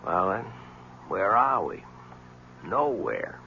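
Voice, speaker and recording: Wry reporter, animated inflection, muffled old broadcast. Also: male voice, low-pitched, takes it slowly, slight background noise, exaggerated voice. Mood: probing. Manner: dry, polite, probing. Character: skeptical, wry male newspaper reporter. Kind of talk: 1970s radio drama acting. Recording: muffled 1970s radio broadcast.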